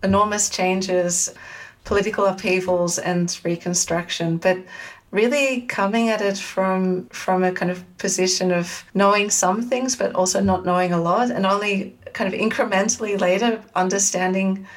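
A woman's voice: medium pitch, steady tone, moderate pace. An Australian woman in her forties talks as an academic interview guest. She speaks thoughtfully and carefully, hedging as she reflects. Her microphone sounds a little distant. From Sydney, Australia. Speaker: guest, media studies academic.

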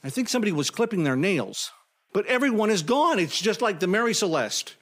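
An actor playing a nervous, imaginative office temp in a comedic audio drama, stammering and talking to himself. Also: male voice, high-pitched, big pitch swings, fairly quick. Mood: flustered, alarmed. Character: jittery, imaginative male office temp, easily unnerved.

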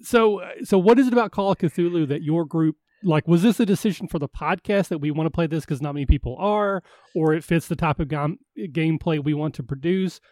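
A man's voice: a little high, a little fast, few pauses, darkish tone, no room sound. A man with a Kentucky accent who hosts a podcast interview, upbeat and friendly and tripping over his words. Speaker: podcast host. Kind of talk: casual interview.

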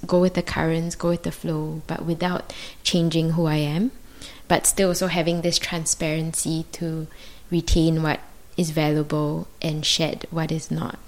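A woman with a low voice and Mandarin as her first language, thinking aloud as a guest. She speaks thoughtfully and hesitantly, pausing often and reaching for the right words. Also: darkish tone. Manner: thoughtful, searching, many pauses and fillers. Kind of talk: reflective conversation.

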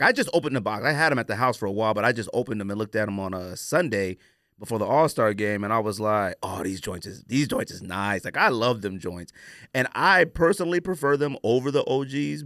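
A man's voice: medium pitch, animated, quick pace, fairly bright tone, no echo. A man with a mid-range voice chats in an informal, slang-heavy podcast style. He is recorded very dry, with hardly any room around the voice. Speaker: sneaker podcast co-host. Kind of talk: casual sneaker podcast chat.

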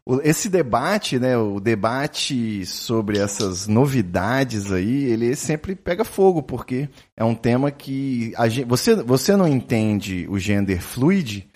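A man hosting a podcast, talking in a relaxed, slangy way. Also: medium pitch, takes it slowly, hardly any pauses. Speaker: podcast host. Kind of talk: casual chat, signing off the show.

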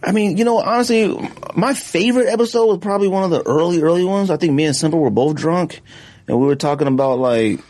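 A man's voice: a little high, fast, a little mellow. A man co-hosting a podcast, riffing emphatically and giving strong opinions in casual chat. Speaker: podcast co-host.

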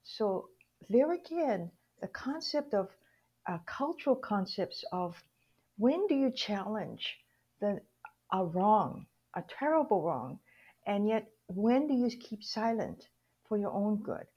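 An older woman from Hawaii speaks as an interview guest with a husky, slightly rough voice. She tells her story reflectively and haltingly, searching for words as she goes. The recording is a little dull and lo-fi. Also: medium pitch, big pitch swings, a little mellow. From Hawaii, United States. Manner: reflective, halting, acts out remembered dialogue.

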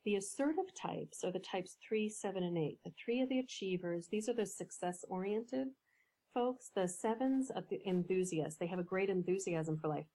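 A woman coach, a podcast guest, explaining ideas in a measured way with some fillers. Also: mid-range voice, warm tone, poor audio. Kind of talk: podcast interview.

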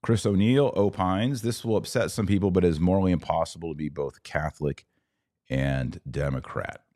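A man with an exceptionally deep voice hosts a casual live podcast. He talks conversationally, reading comments from viewers and answering with his own commentary. The sound is dry, with little room around the voice. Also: moderate pace, warm tone, dry recording. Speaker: podcast host.

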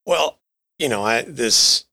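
A man's voice: mid-range voice, animated, slow pace, creaky, bright, forceful tone. A man speaking as a podcast guest in casual panel discussion.

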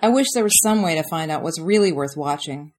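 A woman's voice: low voice, lively intonation, a little fast, slight background noise. A woman playing an exasperated, indignant TV viewer in a comedic promo skit. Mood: wishful. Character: exasperated TV viewer startled by a mysterious announcer. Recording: background music loud under voice.

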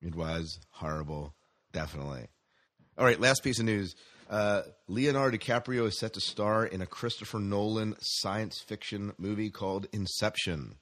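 A man co-hosting a casual podcast chat about science fiction, halting and repeating his phrases as he steers the talk. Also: on the low side, a bit bright. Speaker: podcast co-host.

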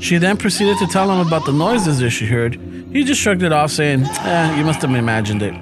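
A man with a Chicano English accent, casually reading a listener's story aloud on a podcast, relaxed and profane, mixing in Spanish phrases. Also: a little high, a little fast. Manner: laid-back, swears often, laughs through lines. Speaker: podcast host. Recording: music playing underneath.

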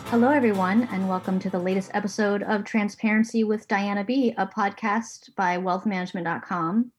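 A woman's voice: mid-range voice, fairly even intonation, brisk, faint music behind, rough recording. A woman hosting an interview podcast from her home basement, talking earnestly with a few restarts. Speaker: podcast host and managing editor.

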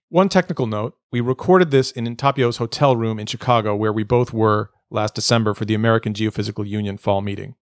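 A man's voice: mid-range voice, no echo, clean studio sound. A man hosting a podcast interview from a hotel room, speaking through a Bluetooth headset mic. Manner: hesitant, restarts questions. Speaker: podcast host. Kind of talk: interview conversation.

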